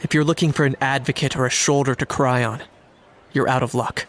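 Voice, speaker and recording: A man voicing a composed, blunt, principled young knight in a video game, speaking in a measured, matter-of-fact way. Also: medium pitch, fairly even intonation, lightly acted. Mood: cool, unsympathetic. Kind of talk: scripted game dialogue. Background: music.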